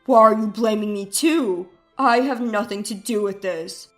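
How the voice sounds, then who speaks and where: A woman's voice: medium pitch, unhurried, faint music behind, pitched below the performer's own voice, close to an everyday voice. A woman voicing an accusing, defensive husband in a narrated family story, blunt and confrontational.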